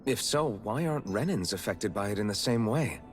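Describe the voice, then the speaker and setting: An actor voicing a measured, level-headed male party member in a game. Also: lowish voice, animated, slight background noise, lightly acted. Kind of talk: video game voice acting. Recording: game audio with music.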